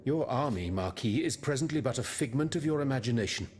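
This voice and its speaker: A man's voice: mid-range voice, animated, quick pace, darkish tone, lightly acted. A man voicing a sober scientist and official in a video game, precise and formal. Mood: dry rebuke. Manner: precise, matter-of-fact, formal. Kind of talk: video game cutscene acting.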